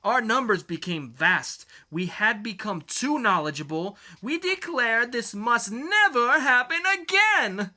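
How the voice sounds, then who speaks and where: A man performs a crybaby dictator villain in an amateur comic dramatic reading. The voice is high and piercingly bright, swinging widely in pitch. The delivery is pompous, grandiose and melodramatic. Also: lively intonation, a little slow, hardly any pauses, full character voice. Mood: ranting, gloating.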